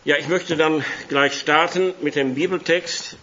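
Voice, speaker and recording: Hoarse male preacher, bright voice. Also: fairly high pitch, fairly even intonation, unhurried, highs cut off. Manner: conversational, reads scripture aloud. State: hoarse from a cold. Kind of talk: sermon with Bible reading. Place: church hall.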